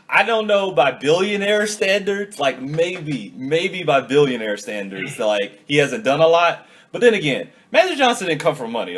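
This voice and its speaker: A man hosting a casual podcast, talking in a relaxed, loose way with lots of fillers. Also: fairly high pitch. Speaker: podcast host. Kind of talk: casual chat.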